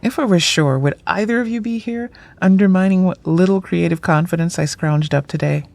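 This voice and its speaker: A woman's voice: on the low side, a little fast, gravelly, a little mellow. A woman playing a frustrated, self-doubting but stubborn writer in an audio drama. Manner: exasperated, hesitant.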